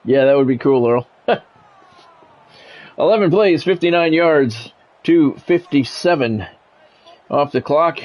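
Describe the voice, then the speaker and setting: A man's voice: a little high, lively intonation, slow pace, long pauses, dull audio. A middle-aged man giving live play-by-play of a football video game, mixed with offhand, conversational chat and casual swearing.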